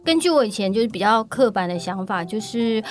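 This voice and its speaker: A woman's voice: mid-range voice, animated. A woman hosting a casual interview chat, playful and chatty, chiming in often. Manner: playful, chatty, quick to laugh and chime in. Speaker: podcast host.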